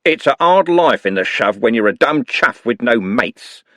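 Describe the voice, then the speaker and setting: A man voicing a threatening gang leader, speaking slowly. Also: medium pitch, expressive, bright, forceful tone, no echo, clean studio sound. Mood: menacing. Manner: slow, threatening. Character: menacing male gang leader.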